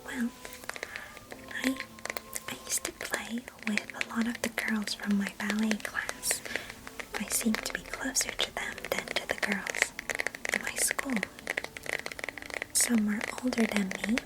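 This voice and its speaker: A woman playing a chatty, affectionate, nostalgic cousin in an ASMR roleplay, whispering gently in an unhurried, breathy way. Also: mid-range voice, fairly even intonation, lightly acted, low-quality recording. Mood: wistful, chatty. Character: chatty, affectionate, nostalgic female cousin, former ballet student.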